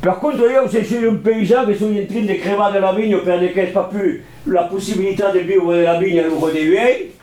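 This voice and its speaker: A man with a sunny Southern French accent holds forth on theatre, his voice high and bright in pitch. He talks animatedly and theatrically, often swelling into booming declamation. The sound carries the echo of a reverberant room. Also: fairly even intonation, moderate pace, few pauses. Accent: Southern French, Montpellier. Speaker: theatre teacher. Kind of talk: lively talk on theatre to a group.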